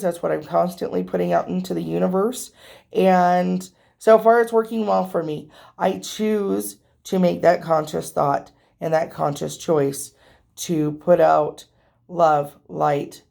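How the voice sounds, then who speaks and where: Hoarse, slightly nasal woman with a gravelly voice. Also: lowish voice, darkish tone. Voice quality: a little nasal from allergies. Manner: rambling, chatty, with frequent ums. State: losing her voice, hoarse. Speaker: tarot reader and channel host. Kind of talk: casual vlog talking to viewers.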